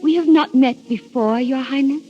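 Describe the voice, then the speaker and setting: An actress playing an innocent, trusting young princess in a 1930s radio drama, with a formal, dreamy manner. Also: female voice, sits high, normal speed, close to an everyday voice. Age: young adult. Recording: dull, crackly radio, faint music behind.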